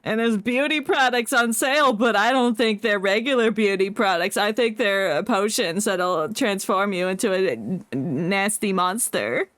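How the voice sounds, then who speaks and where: A woman's voice: medium pitch, normal speed, few pauses, a bit bright, no echo. A woman streaming a game, giving casual, sarcastic and jokey live commentary. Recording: game music behind the voice.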